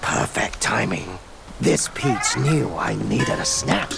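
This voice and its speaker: An actor voicing a boisterous creature in a video game, with a gravelly voice and a theatrical, exclamatory manner. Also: male voice, on the low side, animated, slow pace, very noisy, exaggerated voice. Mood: carefree, pleased. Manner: sing-song, exclamatory. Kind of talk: theatrical game character acting.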